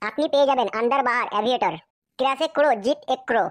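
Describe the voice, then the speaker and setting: High-voiced, fast woman reading an ad. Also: no room sound. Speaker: advertiser. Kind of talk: scripted promotional read.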